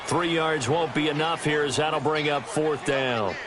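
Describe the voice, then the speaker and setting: A man's voice: on the high side, measured pace, close to an everyday voice. An American man playing a TV play-by-play announcer, calling an American football game. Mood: brisk, engaged. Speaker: play-by-play sports commentator. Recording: loud stadium noise behind voice. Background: loud stadium game noise.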